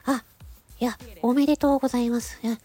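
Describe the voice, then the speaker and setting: High, nasal, cartoon-cute woman's voice. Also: fairly even intonation. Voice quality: nasal, stuffy-nosed. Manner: polite, giggly, halting phrases ending in a soft filler. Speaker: amateur voice actor hosting a personal audio channel. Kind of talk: casual chat to listeners.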